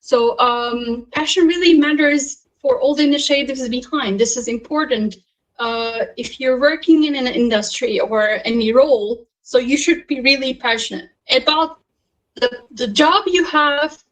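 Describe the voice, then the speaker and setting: A woman's voice: high voice, unhurried, poor audio. A woman in her thirties from Turkey, speaking on a panel over a video call and telling her career story earnestly, with many fillers and restarts. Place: home or office in Istanbul.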